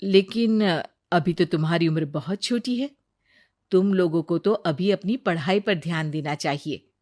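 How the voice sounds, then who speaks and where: A woman voicing a gracious but firm queen in a story, with a measured, authoritative manner. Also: lowish voice, darkish tone.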